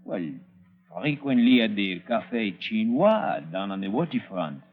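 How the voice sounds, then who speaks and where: A man's voice: fairly low pitch, big pitch swings, measured pace. A deferential hotel clerk from a vintage radio drama. He speaks with a French accent in hesitant, formal, effusive phrases. The recording is dull and aged. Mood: obsequious. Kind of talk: 1940s radio acting.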